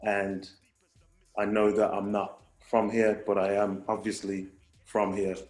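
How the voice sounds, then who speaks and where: A man's voice: lowish voice, monotone, measured pace, a little mellow, echoey room, soft background music. A man, a guest in casual conversation, reflective and rambling with restarts. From England. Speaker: guest, hip hop artist.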